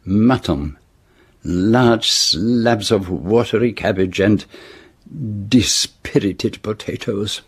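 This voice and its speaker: An actor playing a fastidious, self-important foreign detective with French as his native tongue, speaking precisely and formally in a radio drama. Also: lowish voice, breathy edge. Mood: measured, fastidious.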